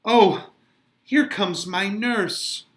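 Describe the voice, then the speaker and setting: A man's voice: high-pitched, extremely slow, noisy background, pitched above the performer's own voice. A man playing an eager, lovestruck young Juliet, reciting Shakespearean verse with dramatic feeling. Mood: yearning, impatient.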